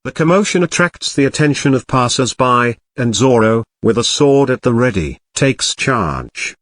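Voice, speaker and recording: A man's voice: medium pitch, expressive, normal speed, no echo. A man narrating an audio drama in a scripted, present-tense storytelling style.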